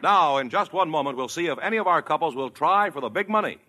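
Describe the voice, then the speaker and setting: A man's voice: a little high, lively intonation, fast, a crowd in the background. A man announcing the score on a 1950s radio quiz show in an upbeat, chuckling way. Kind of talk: game announcing. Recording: hissy 1950s radio broadcast.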